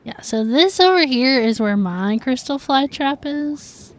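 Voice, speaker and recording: Chatty woman streamer, slightly high voice. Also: animated, unhurried. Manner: chatty, easily amused, giggly. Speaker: video game streamer. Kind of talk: casual livestream gameplay chat.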